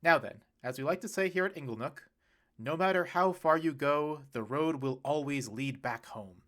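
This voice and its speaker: A man's voice: a little high, moderate pace, fairly bright tone, lightly acted. A man narrator voicing a fussy, exasperated middle-aged father.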